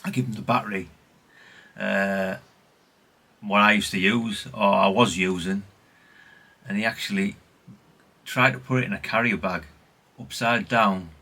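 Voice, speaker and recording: A man's voice: fairly low pitch, steady tone, measured pace, long pauses, pushed and bright. A middle-aged British man talking casually in a rambling, chatty show-and-tell way, often correcting himself and adding tag questions. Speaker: hobbyist video host.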